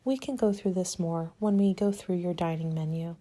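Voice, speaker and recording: A woman speaking softly in an ASMR roleplay as a party planning agent, polite and measured, sometimes slipping toward a whisper. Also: fairly low pitch. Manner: polite, measured, businesslike consultant patter. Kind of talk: soft-spoken ASMR roleplay, partly whispered.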